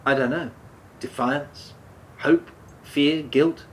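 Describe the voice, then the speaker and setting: Man acting a stammering, guilt-ridden man, noisy recording. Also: slow pace. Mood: subdued, awkward. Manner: hesitant, stammering, repeating himself. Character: remorseful, defensive man haunted by a fatal drunk-driving crash. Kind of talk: naturalistic radio play dialogue. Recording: steady background noise, low rumble.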